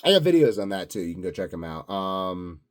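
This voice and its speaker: A man's voice: low voice, very animated, brisk, clean tone. A self-assured, blunt man rambling unscripted to his viewers in a grandiose solo monologue. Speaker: solo video host.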